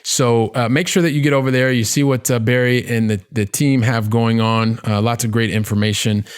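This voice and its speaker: A man hosting a podcast in a conversational interview style, with wordy, halting phrasing and catchphrases. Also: mid-range voice, fairly even intonation, clear, resonant tone. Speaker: podcast host.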